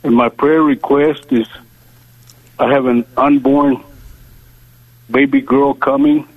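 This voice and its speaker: A man calling into a prayer radio show, speaking slowly and devoutly about scripture, with many pauses. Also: mid-range voice, fairly even intonation, no echo. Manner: slow, deliberate, devout, pauses often. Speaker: caller. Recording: tinny phone line.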